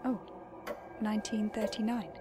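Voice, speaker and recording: An actress playing a timid, naive young maid, a lost spirit, hesitant and deferential, in an audio drama. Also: female voice, medium pitch, level tone, normal speed, close to an everyday voice. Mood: confused, meek. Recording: loud music under the voice.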